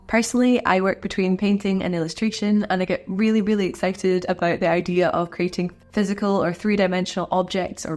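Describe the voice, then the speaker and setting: A woman's voice: fairly low pitch, a little mellow. A woman artist chatting to camera in an encouraging, friendly way, sounding a little stuffed up from a cold. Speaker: artist and video creator. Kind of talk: casual talk to camera.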